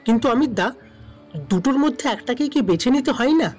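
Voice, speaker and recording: A woman acting an earnest, doubting young friend in an audio drama, questioning and gently protesting. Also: fairly high pitch, expressive, normal speed, lightly acted. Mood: doubtful, earnest. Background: background music.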